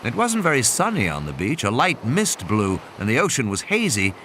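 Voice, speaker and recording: A man narrates a dramatic audiobook with a very animated, wide-ranging pitch. His delivery is measured and literary, set at a medium register. Clear sound-effect ambience runs behind the voice. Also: slight background noise. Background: music, sound effects and background ambience.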